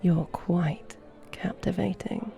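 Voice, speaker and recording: A woman's voice: fairly low pitch, fairly even intonation, takes it slowly, vocal fry, dark tone, faint music behind, heavily acted. A woman playing a seductive, mischievous vampire in an ASMR roleplay, speaking in a flirtatious, languid, formal way.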